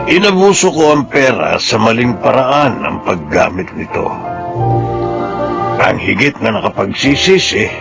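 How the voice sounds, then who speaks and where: Gravelly, slow, broken Filipino man. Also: fairly low pitch, exaggerated voice. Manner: halting, heavy, emotional. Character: ruined, stricken trucking boss turning repentant. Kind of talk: Filipino radio drama acting.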